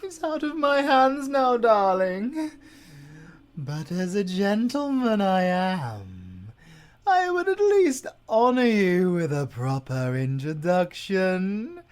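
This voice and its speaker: A British man playing a suave, possessive werewolf villain in an audio roleplay, grandiose and mock-gentlemanly, moving between hushed murmurs and cries. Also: sits high, very animated, slow, heavily acted. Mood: proud, ceremonious.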